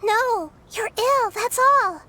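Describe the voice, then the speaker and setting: Small, high-voiced, wise child goddess. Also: female voice, slow, pushed and bright, slight background noise, heavily acted. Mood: gentle insistence. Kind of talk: fantasy video game voice acting.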